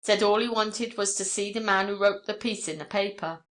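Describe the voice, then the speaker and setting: Woman playing messenger boy, deferential, plain-spoken, respectful, bright sharp tone, storybook dialogue. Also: mid-range voice, normal speed, lightly acted. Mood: dutiful.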